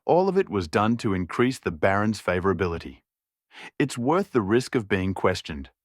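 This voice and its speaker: A man's voice: on the low side, very animated, normal speed, no room sound, studio quality. A man reading a scripted story as an audiobook narrator.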